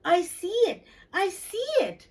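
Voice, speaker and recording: Woman playing a proud, excitable little pig. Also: extremely high pitch, slow pace, recorded from a distance, voice pushed up, heavily acted. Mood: thrilled. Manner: squealing, insistent. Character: proud, excitable young pig, stubborn stargazer.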